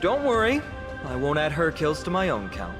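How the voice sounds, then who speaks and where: Lively, teasing young warrior. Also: male voice, a little high, moderate pace, big-room sound, over music. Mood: playful. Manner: playful, teasing, theatrically confident. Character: cheerful, teasing young male warrior, playful and competitive. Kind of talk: scripted video game voice acting.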